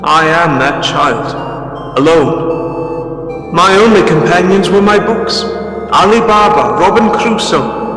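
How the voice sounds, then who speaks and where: A man playing a fearful, remorseful old miser in a radio play, with halting, emotive, theatrical delivery. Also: a little high, roomy sound, big-room sound. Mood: wistful, remorseful. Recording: music behind the voice.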